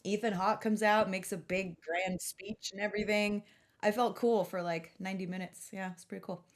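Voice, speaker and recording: A woman hosting a podcast in casual chat, telling stories in an animated way with casual swearing. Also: medium pitch, moderate pace. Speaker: podcast host.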